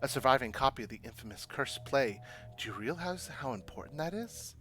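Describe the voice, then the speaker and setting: A man's voice: mid-range voice, lively intonation, a little fast, fairly bright tone, slight background noise, close to an everyday voice. A man voicing a self-important, imperious young female student in a visual novel read-aloud. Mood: urgent. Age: young adult. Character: haughty, bossy young woman student obsessed with her thesis.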